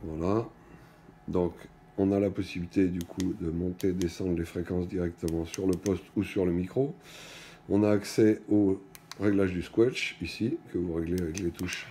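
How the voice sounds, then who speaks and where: A middle-aged man presents a product hands-on, unscripted. His voice sits very low and has a dark, relaxed tone. He speaks in halting stretches, stopping and picking up again, over a faint bed of room noise. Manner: halting, stop-and-go phrasing with trailing pauses. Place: indoors at a wooden table in a small shop or office.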